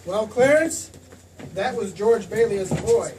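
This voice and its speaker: A patient, heavenly storyteller played by an actor narrates an old-time radio play. The voice comes from some distance, with clear noise over the recording. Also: medium pitch, expressive, unhurried, lightly acted. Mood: storytelling. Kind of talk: scripted radio drama narration. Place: theater stage.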